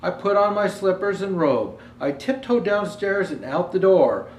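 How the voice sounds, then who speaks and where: A man's voice: high voice, animated, a little slow. A man playing Santa Claus as a jolly old storyteller, reading a children's storybook aloud with chatty asides. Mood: gentle storytelling. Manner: jovial ho-ho greetings, chatty asides. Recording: roomy echo, faint background noise.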